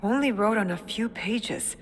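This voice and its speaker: A woman's voice: mid-range voice, measured pace, lightly acted. A woman playing a determined, guarded young female shinobi in a video game cutscene, speaking with earnest intensity. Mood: wary musing. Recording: long hall reverb, music behind.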